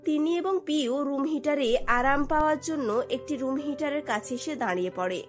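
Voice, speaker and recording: A woman tells a cartoon story as its narrator. Her voice is high, and the delivery is scripted storytelling over faint music. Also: a little fast.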